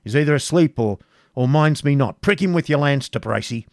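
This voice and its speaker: A man's voice: medium pitch, moderate pace, creaky, no echo, clean studio sound. A man voicing a fiery, scornful medieval prince in a storybook reading, with commanding archaic speech.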